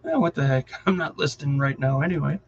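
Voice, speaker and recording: A man's voice: fairly high pitch, a little fast. Middle-aged man, Wisconsin accent, rambling live-stream chat, dull muffled audio, faint background hum. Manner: rambling, easily distracted, chuckling.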